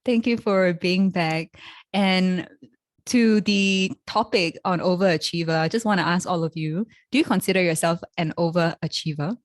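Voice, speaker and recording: A woman's voice: on the low side, moderate pace, no room sound, studio quality. A woman hosting a podcast, upbeat and welcoming as she introduces the episode and her guest. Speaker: podcast host.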